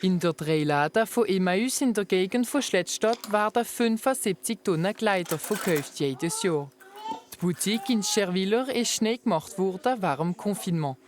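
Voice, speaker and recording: A woman's voice: mid-range voice, expressive, normal speed, slight background noise. A woman narrating a regional television news report and interviewing a guest.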